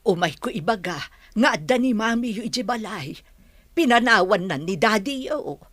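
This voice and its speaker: A woman's voice: mid-range voice, expressive, moderate pace, fairly bright tone, a little hiss or hum, lightly acted. A woman acting a concerned older mother and grandmother in a radio drama, solicitous and sighing. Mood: gentle, sad.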